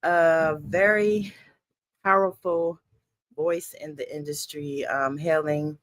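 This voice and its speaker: An online radio show host chatting casually on a livestream in a mid-pitched voice. The delivery is upbeat and welcoming, with a loud opening greeting. It is recorded dry on a computer mic with no room sound. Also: fairly even intonation, slow pace, no room sound. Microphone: streaming setup, computer mic.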